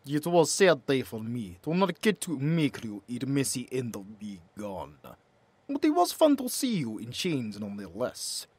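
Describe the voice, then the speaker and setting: A gruff, sadistically amused male enforcer, played by a man from a horror audio drama. His rough, husky voice taunts in stilted phrases with an animated rise and fall. The sound is close and dry. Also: medium pitch, measured pace, no room sound. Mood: sadistic amusement.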